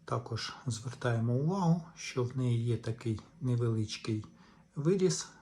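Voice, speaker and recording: Mellow-voiced man giving instructions. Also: medium pitch, lively intonation, unhurried. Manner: methodical, explains in short, piecemeal phrases. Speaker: repair tutorial host. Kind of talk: unscripted step-by-step hands-on instruction.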